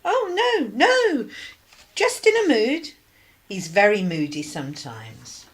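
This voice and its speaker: An actress playing a weary, sarcastic 1950s British working-class wife in a radio drama, with a clipped, irritable manner. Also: female voice, fairly high pitch, sing-song, takes it slowly. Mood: guarded, polite.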